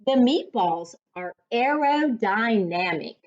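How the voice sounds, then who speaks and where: A woman reading a children's story aloud as a reading coach, with a deliberate, instructive, teacher-like delivery. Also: a little high, expressive. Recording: dull lo-fi sound.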